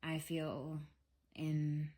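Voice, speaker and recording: A young woman with a head cold, congested and a little scratchy, giving a relaxed spiritual reading monologue with many ums. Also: low-pitched. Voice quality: scratchy throat. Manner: slow, soothing, with frequent um fillers. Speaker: tarot reader.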